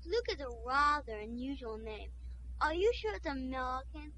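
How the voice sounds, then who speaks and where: A woman's voice: high-pitched, big pitch swings, moderate pace, sharp tone, a little hiss or hum, exaggerated voice. A woman voicing a snooty society lady with a put-on posh English accent, haughty and prim, who turns crude when angry. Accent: put-on posh English, then dropped. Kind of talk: comedy sketch acting.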